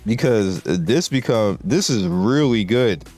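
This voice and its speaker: A man's voice: on the low side, lively intonation, slow, darkish tone, faint music behind. A man losing his voice, giving laid-back, slangy game commentary with lots of restarts. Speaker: mobile game content creator.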